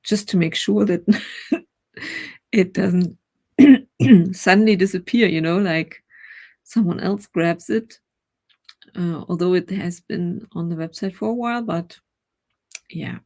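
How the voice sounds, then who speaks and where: Brief: German-accented woman hosting a stream, gravelly voice, relaxed rambling chat with plenty of laughs. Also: on the low side, slow, long pauses, no echo. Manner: chatty and rambling, laughs often, greets chat. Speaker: writing livestream host. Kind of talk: casual livestream chat.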